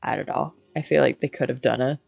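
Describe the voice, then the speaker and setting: A woman with a strikingly low voice gives casual game-stream commentary. She is candid and opinionated, talking as she would in an informal chat. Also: steady tone, fast, vocal fry, darkish tone, husky, dull audio.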